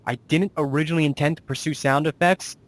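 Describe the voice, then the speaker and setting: A man's voice: a little high, fairly even intonation, quick pace. A man hosting a casual interview as a writer and producer, talking in a rambling, self-deprecating way.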